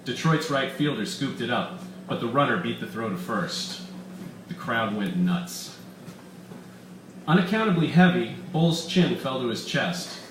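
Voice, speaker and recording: A man reading his own story aloud with deliberate, dramatic emphasis at a live literary reading in an echoey bar room. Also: mid-range voice, big pitch swings. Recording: echoey room, distant mic, faint background noise.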